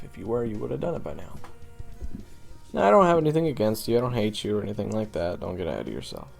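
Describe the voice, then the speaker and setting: Gravelly, seasoned monster hunter played by a man. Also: medium pitch, darkish tone. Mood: grave, discouraging. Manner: halting, conversational, drawn-out pauses, blunt. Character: seasoned monster hunter, gruff but easygoing mentor to a rookie. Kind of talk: audio roleplay acting addressed to the listener.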